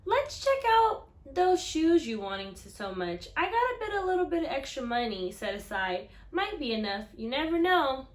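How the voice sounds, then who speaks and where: A woman reading the part of a practical, kindly grandmother in a children's picture book, plainspoken and gently encouraging. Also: high voice, big pitch swings, moderate pace, few pauses, pitched above the performer's own voice. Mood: kindly, hopeful. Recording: echoey room.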